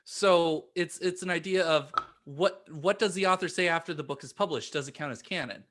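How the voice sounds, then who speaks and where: A man's voice: on the high side, normal speed, a bit bright. A man co-hosting a podcast in casual conversation. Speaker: podcast host. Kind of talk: casual chat.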